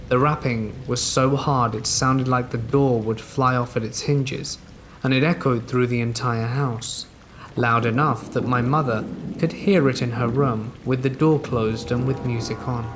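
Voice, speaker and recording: A man narrates true scary stories in an even, unhurried storytelling read. His voice sits at an ordinary middle pitch for a man. Faint rain patters in the background. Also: noisy background. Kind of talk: scripted narration of listener stories.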